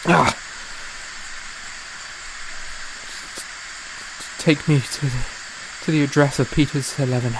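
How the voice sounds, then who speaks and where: Woman playing a blustering, low-voiced young composer. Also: steady tone, normal speed, noisy background. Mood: drunken, brash. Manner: blustering, exclamatory, groaning. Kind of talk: audio drama acting.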